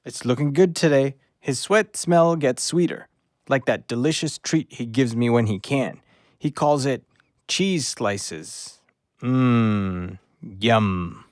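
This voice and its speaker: A man's voice: mid-range voice, takes it slowly, no echo, studio quality, lightly acted. A man playing a loyal, eager rescue dog in an audio drama, earnest and pleading with his human. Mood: pleased.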